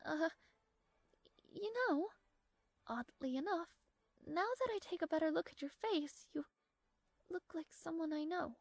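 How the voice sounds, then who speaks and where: A proud young princess, acted by a woman in an audio roleplay, speaks slowly in a high voice. Her diction is formal and regal, haughty on the surface and prone to shy hesitation. The voice is close and dry, with music behind it. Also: creaky, no room sound, heavily acted. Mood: embarrassed, flustered. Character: strong-willed, proud young princess, easily flustered, secretly smitten.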